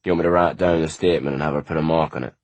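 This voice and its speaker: A man's voice: very deep voice, fairly even intonation, rapid-fire, a little mellow, no room sound. A man voicing a stern, formal male monk who speaks firmly, in an audiobook. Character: stern middle-aged male monk clerk.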